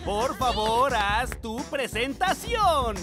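A man's voice: very high voice, sing-song, slow, heavily acted. An actor playing a cheerful male teacher in a dubbed children's cartoon, speaking with jolly enthusiasm. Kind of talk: Spanish cartoon dub. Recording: music bed behind the voice.

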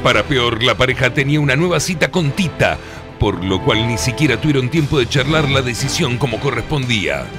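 A man's voice: medium pitch, lively intonation, brisk, music in the background, lightly acted. A man delivering mock-dramatic scripted narration for a radio play.

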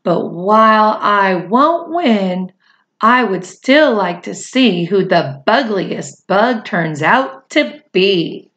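A middle-aged woman reading a picture book aloud to children expressively, with chatty asides. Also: medium pitch. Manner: expressive, drawn-out delivery addressed to young children. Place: small indoor room at home.

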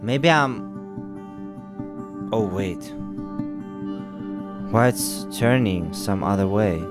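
A man's voice: fairly low pitch, expressive, drawn-out words. A man from Russia with a Russian accent, hosting a game walkthrough with playful, chatty asides and reading dialogue choices aloud. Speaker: gaming video host. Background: game music.

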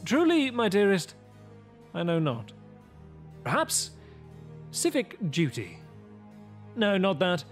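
A man's voice: high-pitched, wildly swooping pitch, slow, long pauses, full character voice. An actor playing a brooding, arrogant vampire who recounts his transformation in a formal, theatrical gothic audio drama monologue. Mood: brooding, musing. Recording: music under the voice.

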